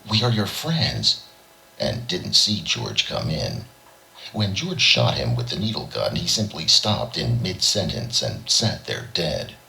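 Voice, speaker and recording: Male audiobook narrator, extremely low pitch, very forceful bright edge, expressive story reading. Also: a little slow, vocal fry, rough recording. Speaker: audiobook reader.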